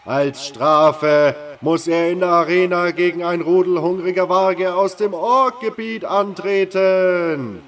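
Fantasy audio drama announcer: pitiless camp crier, high straining shout, slow and booming. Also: male voice. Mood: gloating proclamation. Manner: booming, drawn-out proclaiming. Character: loud male arena announcer of a prison camp, merciless.

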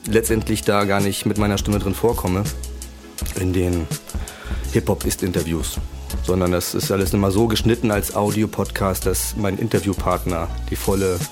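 A man with a cold speaks as a guest on a radio show, with a low voice. He talks hesitantly with plenty of fillers, and faint music plays underneath. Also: fairly even intonation, normal speed, darkish tone. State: has a cold. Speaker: podcaster guest. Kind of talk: casual radio interview. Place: radio studio.

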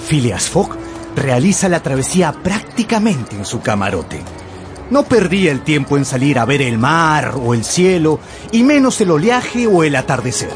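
A man's voice: fairly high pitch, sing-song, moderate pace. A man narrating a radio drama with theatrical, emphatic storytelling. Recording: music behind the voice.

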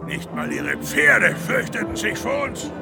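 High, creaky, wry conquered warrior. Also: male voice, wildly swooping pitch, measured pace, heavily acted. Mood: bitter, wry. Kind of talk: German radio play acting, declaimed monologue. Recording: loud music over the voice.

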